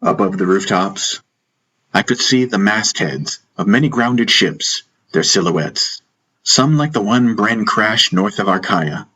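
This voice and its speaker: A man narrating a first-person fantasy audiobook in deliberate, dramatic short phrases. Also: lowish voice, expressive, sharp tone, slightly rough, low-quality recording. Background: music.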